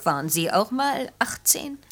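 Breathy, restless young student. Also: female voice, a little high, very animated, unhurried, heavily acted. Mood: hushed, unsure. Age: young adult. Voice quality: breathy, often dropping to a whisper. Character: restless, defiant eighteen-year-old female student, unsure and curious. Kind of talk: German radio play dialogue.

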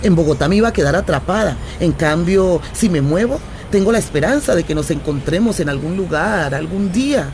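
An actress playing a restless, affectionate Colombian traveller in a radio drama, telling things in a playful, expressive, conversational way. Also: female voice, lowish voice, a little fast, hardly any pauses. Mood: excited, storytelling. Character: adventurous, restless, affectionate young Colombian woman stranded while travelling. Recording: remote recording with clear traffic noise.

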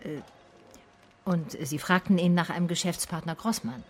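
Actress as a low-voiced laconic woman detective. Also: moderate pace, a little hiss or hum, close to an everyday voice. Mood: businesslike, hesitant. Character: dry, observant female private detective narrating her case. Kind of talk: scripted radio play narration.

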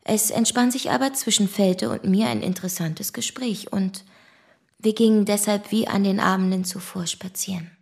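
A woman's voice: mid-range voice, moderate pace, gravelly, close to an everyday voice. An actress playing a prim, proper woman in a German radio drama, speaking in a formal, reserved way. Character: prim, proper woman recalling her past acquaintance. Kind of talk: first-person recollection in German radio drama.

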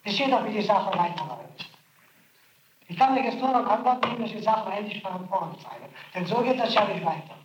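A man's voice: high voice, expressive, brisk, echoey room, slight background noise, low-quality recording. A man being interrogated, exhausted and complaining of a headache, pleading and exasperated as he keeps repeating himself. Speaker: suspect under interrogation. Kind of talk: archival interrogation recording.

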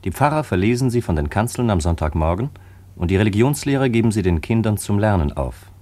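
A man's voice: fairly low pitch, very animated, fast, dark tone. A man playing a sober commentator who explains the story matter-of-factly in a scripted radio play.

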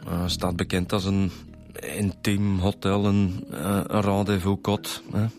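Casting: wry Flemish detective sidekick, lowish voice, colloquial and opinionated, naturalistic radio acting. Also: male voice, flat delivery, a little slow, a little mellow, faint music behind. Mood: awkward, tactful. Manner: colloquial, slightly hesitant. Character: wry, opinionated male police inspector.

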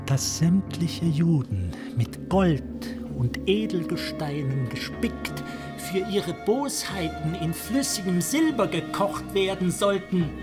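A woman voicing a venomous pamphleteer, reading deliberately and declaiming in a radio play. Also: fairly low pitch, lively intonation, music in the background.

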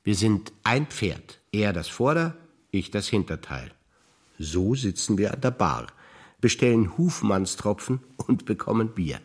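A man's voice: fairly low pitch, big pitch swings, measured pace, husky. An older man, a stage actor, telling wry memories in a theatre monologue.

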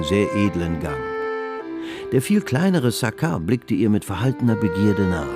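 An actor as the composed narrator of a German radio drama, telling a period novel in literary, scripted style. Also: male voice, fairly low pitch.

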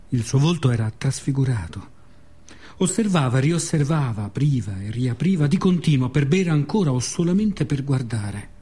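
A man with a Roman accent performs a scripted theatrical monologue, narrating in short fragments with dramatic pauses and repetitions. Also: mid-range voice, warm tone, slightly rough. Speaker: actor and author of a radio monologue.

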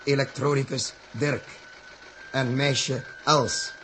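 An actor playing a lonely, bewildered spaceship captain who talks haltingly and deliberately, in radio drama style. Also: male voice, mid-range voice, little pitch movement, natural voice. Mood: grave reporting. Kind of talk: 1960s Dutch radio drama acting. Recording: noisy vintage radio recording with humming ambience.

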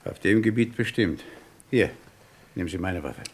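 Menacing crime boss in an old radio play, deep husky voice, bossy, talks down to people. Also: male voice, expressive, normal speed, full character voice. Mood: curt. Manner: curt, commanding, condescending. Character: coolly menacing male boss hiring an accomplice to betray.

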